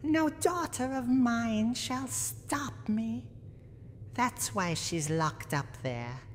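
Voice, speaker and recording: A woman playing a cranky, lonely, menacing old granny from a horror game in a slow, theatrical, haughty roleplay monologue. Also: fairly high pitch, expressive. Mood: defiant, scornful. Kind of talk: dramatic scripted roleplay monologue, ASMR audio drama. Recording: long hall reverb, audible background noise.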